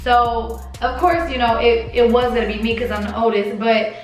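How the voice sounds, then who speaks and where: A woman's voice: fairly high pitch, steady tone, moderate pace, very echoey, faint music behind. A young woman vlogger telling a casual storytime in a sleepy late-night voice, chatty and confiding, calling her viewers y'all.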